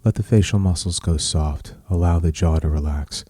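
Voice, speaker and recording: Male meditation guide: dark, soft-edged husky tone, soothing and measured delivery in short, spaced phrases. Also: sits low, dry recording, studio quality.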